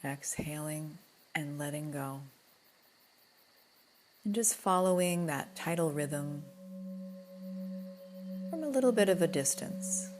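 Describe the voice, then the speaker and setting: Slow, low-pitched woman guiding meditation. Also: lively intonation, a little hiss or hum. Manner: soothing, gentle, unhurried with soft breathy moments. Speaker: yoga nidra guide. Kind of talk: guided relaxation meditation.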